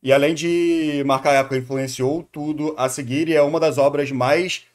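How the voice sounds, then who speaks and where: A man's voice: a little high, fairly even intonation, normal speed. A young Brazilian man streaming casual live commentary as he reacts to a video, playful and digressive, often repeating words. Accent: Brazilian Portuguese.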